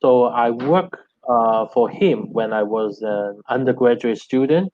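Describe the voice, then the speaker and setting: A middle-aged man speaks English with a Hong Kong Cantonese accent, his native language being Cantonese. He explains like a professor in a question session, haltingly and with repeated words. His voice sits at an average pitch, and the sound is close and dry but dull, like a low-fidelity online call. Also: no room sound. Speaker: linguistics professor, guest lecturer. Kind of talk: answering questions after an academic talk. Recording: dull, band-limited online call audio.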